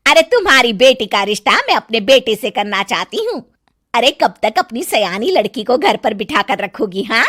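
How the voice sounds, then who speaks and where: Casting: pushy, greedy cartoon mother-in-law, high-pitched, wheedling and scolding played by a woman. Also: sing-song, normal speed, a bit bright. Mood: nosy, wheedling. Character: greedy, pushy middle-aged village aunt and mother-in-law.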